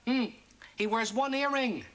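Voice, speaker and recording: A comedian man doing a stand-up routine as a pretend phone call to his mother, firing off quick one-liners. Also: extremely high pitch, very noisy.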